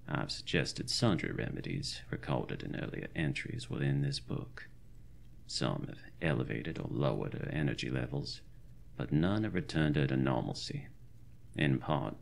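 A man playing a fussy, caring 1920s country doctor, reading his journal in formal, ornate, old-fashioned diction for a horror audio drama, with a deep, gravelly voice. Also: a little hiss or hum, heavily acted. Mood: fond, thoughtful.

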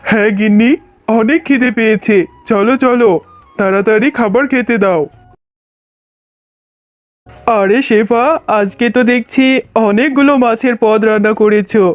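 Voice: medium pitch, fairly even intonation, normal speed, dry recording, lightly acted. An actor voicing a poor, doting village father fox in a children's cartoon. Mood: happy. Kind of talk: Bengali children's cartoon dialogue. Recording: muffled, narrow-band sound with music.

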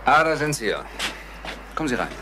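An actor playing a stern, insistent businessman in a crime radio play, with a measured, pressing manner. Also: mid-range voice, big pitch swings, close to an everyday voice. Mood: grave, probing. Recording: noisy old radio play recording.

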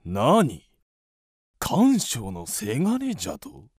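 Gravelly, imperious old king. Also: mid-range voice, huge pitch swings, slow, a little mellow, no echo, studio quality, full character voice. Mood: haughty, demanding. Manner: haughty, archaic lordly speech. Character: imperious, greedy, suspicious old king of ancient China. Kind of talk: Japanese radio drama acting.

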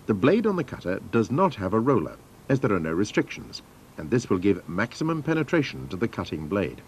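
A man's voice: lowish voice, sing-song, fairly quick, a little hiss or hum. A man narrating scripted instructions for a training film in a formal, methodical manner.

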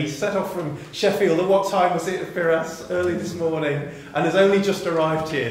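A man in his thirties informally introducing speakers to an audience, somewhat hesitant, repeating words. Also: fairly high pitch. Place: bright indoor hall, at a lectern before a seated audience. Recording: very echoey hall, faint background noise.

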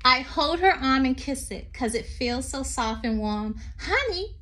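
A middle-aged woman reading a poem aloud to young children like a teacher, expressive and sing-song, with chatty asides. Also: high voice, unhurried. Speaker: teacher reading to her class. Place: small room indoors. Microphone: phone at arm's length.

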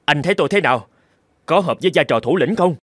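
A man's voice: fairly high pitch, expressive, quick pace, slightly rough, no echo, clean studio sound. A man voicing a vain, boastful mouse leader in a cartoon, pompous and self-important. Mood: eager, scheming. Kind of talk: cartoon dubbing.

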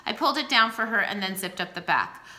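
Brief: woman in her forties, bright lively storybook reader, home webcam. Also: medium pitch, fast. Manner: expressive, acts out the dialogue. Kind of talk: reading a novel aloud. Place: small room at home, indoors. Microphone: webcam at close range.

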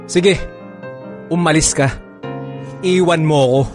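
A man's voice: fairly high pitch, slow pace, music in the background. A man playing a possessive, reluctant lover in a radio drama, hesitant and sulky. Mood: resentful.

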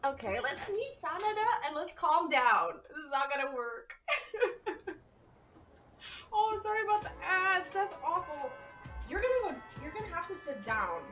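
A woman's voice: high voice, sing-song, moderate pace, fairly bright tone, distant mic, faint music behind. A young woman from Serbia chatting casually with her live-stream chat and her dog while playing a game at home.

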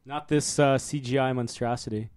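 A man's voice: mid-range voice, fairly even intonation, moderate pace, a little mellow, clean tone, no echo. A man co-hosting a casual movie commentary, sharing behind-the-scenes trivia with blunt asides. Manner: informed, dry, blunt asides. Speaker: podcast co-host.

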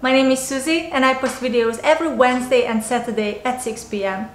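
A woman's voice: sits high, fairly even intonation, fairly quick, a little hiss or hum. A woman in her twenties with a Hungarian accent, a YouTuber earnestly explaining tips to camera from her home office. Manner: earnest, explaining step by step, slight non-native phrasing. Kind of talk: casual to-camera tips vlog. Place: small home office indoors. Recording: roomy home office, faint music.

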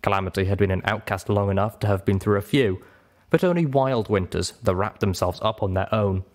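A man narrating a story as an audiobook reader, in an expressive scripted style. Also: on the low side, brisk.

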